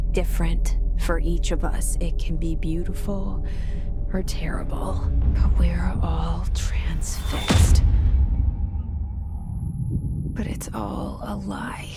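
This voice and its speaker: A woman voicing a guarded, brooding young woman's inner thoughts in a video game, half-whispered and confiding. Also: lowish voice. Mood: musing. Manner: slow, hushed, confiding. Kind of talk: introspective video game voice-over narration. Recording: music under the voice. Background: loud background music.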